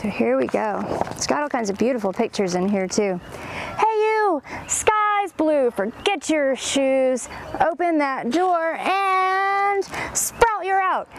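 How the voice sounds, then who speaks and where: A woman's voice: sits high, lively intonation, slow, a little hiss or hum. A woman hosting storytime for young children, reading aloud expressively and adding chatty, enthusiastic asides. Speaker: children's librarian, storytime host.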